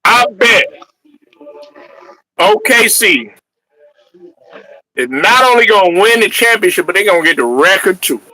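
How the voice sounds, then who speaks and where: A man in casual sports chat with a bright, forceful voice that sits a little high. He talks in an animated, trash-talking way, breaking into laughs and hollers. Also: measured pace, long pauses, poor audio. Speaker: podcast host.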